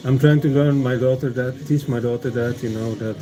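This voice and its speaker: A man's voice: mid-range voice, steady tone, normal speed, soft background music. A man chatting casually on a livestream, meandering and hesitant, with many fillers and restarts and frequent chuckles. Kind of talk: casual rambling stream chat.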